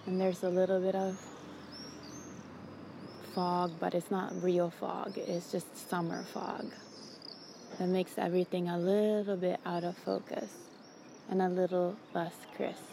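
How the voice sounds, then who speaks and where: A woman calmly describing her surroundings outdoors on a summer evening, in an unhurried, observant way. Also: lowish voice, creaky, background noise. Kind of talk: calm descriptive monologue.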